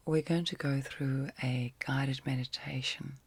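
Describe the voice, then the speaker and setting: Slow, whispery woman guiding sleep meditation. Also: very deep voice, steady tone, dry recording. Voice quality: soft, breathy, often whispered. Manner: gentle, soothing, long pauses between short phrases. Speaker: guided meditation host. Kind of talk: ASMR guided sleep meditation.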